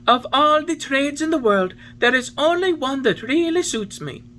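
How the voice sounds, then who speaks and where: A woman's voice: sits high, expressive, moderate pace, fairly bright tone, slight background noise. A woman playing a cheeky wooden boy. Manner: cheeky, defiant retorts. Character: impudent wooden boy marionette, defiant.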